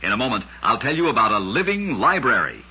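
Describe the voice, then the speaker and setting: A dull, vintage radio recording of a man narrating strange tales. His voice is markedly rough and forceful. He delivers each scripted line with a dramatic storyteller's emphasis. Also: medium pitch, big pitch swings, fast talker. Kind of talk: scripted old-time radio oddity narration.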